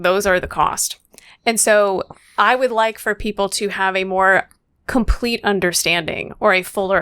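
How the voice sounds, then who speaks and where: A woman's voice: medium pitch, a bit bright, clean tone, no room sound, studio quality. An American woman in her thirties giving considered, articulate answers as an interview guest. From Louisville, Kentucky, United States. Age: 30s. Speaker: guest author, foreign policy scholar.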